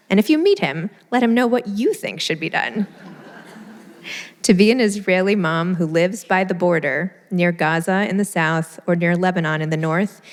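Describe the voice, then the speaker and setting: A woman moderating a live book event, speaking in an earnest, conversational way with a slightly low voice. Her words ring out with long reverb from a large event hall. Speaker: host and moderator. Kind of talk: live panel event, reading an essay aloud.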